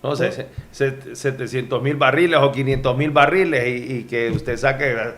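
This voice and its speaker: Brief: male talk-show interviewer, mid-range voice, measured questions, lots of "ujú" and "sí". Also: mid-range voice, fairly even intonation, fairly bright tone, a little hiss or hum. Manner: asks long reasoned questions, frequent short affirmations.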